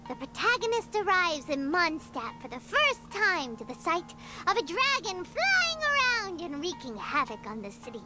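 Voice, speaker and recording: A childlike fairy sidekick from a video game. The voice is piercingly high and chirpy, swinging up and down with excitable exclamations. Loud music runs underneath. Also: female voice, moderate pace, few pauses. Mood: dramatic reading. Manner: squeaky, chirpy, exclamatory, refers to self by name. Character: tiny, childlike, excitable fairy companion girl. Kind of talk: scripted video game dialogue. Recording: prominent background music under the dialogue.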